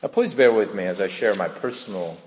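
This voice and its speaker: Pastor in his 50s, lively up and down preaching voice, big echoey church hall. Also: fairly low pitch, brisk, a little hiss or hum. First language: Korean. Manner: earnest, conversational, asks the congregation questions. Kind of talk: sermon with personal testimony.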